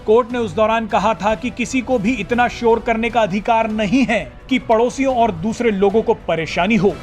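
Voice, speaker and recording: A man narrates a scripted news report. His voice is pitched unusually high for a man, and faint music plays beneath his narration. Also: moderate pace.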